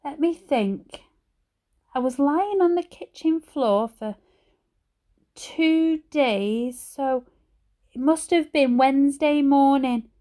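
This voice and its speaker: A woman voicing a frail, kindly old grandmother in hospital with a croaky, slightly slurred voice, for a children's storybook. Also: high-pitched, dark tone, pitched above the performer's own voice. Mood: weak, matter-of-fact. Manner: slightly slurred, slow speech.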